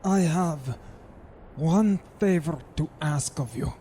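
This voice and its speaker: An actor playing a dying, gentle old man with a raspy voice and halting, solemn delivery in an audio drama. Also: on the high side, slight background noise. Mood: gentle, earnest. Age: older. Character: dying gentle old man who loves his pets.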